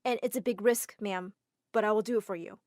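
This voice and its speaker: A woman's voice: medium pitch, fairly even intonation, a little fast, a little mellow, no echo, studio quality, natural voice. A woman voicing a polite young male assistant who speaks deferentially and keeps saying ma'am.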